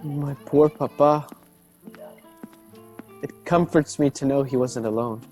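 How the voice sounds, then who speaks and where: An actor playing an earnest young gentleman, a loyal son, in a radio drama. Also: mid-range voice, slow, soft background music, natural voice. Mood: pained, earnest.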